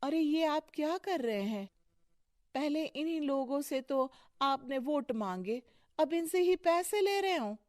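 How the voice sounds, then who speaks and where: A woman voicing an indignant, scolding wife in an animated moral story. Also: sits high, unhurried, pitched above the performer's own voice. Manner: scolding, shocked. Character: indignant wife confronting her dishonest husband.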